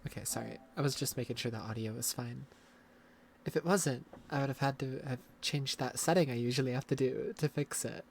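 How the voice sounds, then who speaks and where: A woman's voice: rumbling low, a little mellow, a little hiss or hum. A woman streamer chatting casually and a bit scattered, her voice worn from getting sick. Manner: chatty, self-deprecating, scattered. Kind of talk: casual live-stream chat.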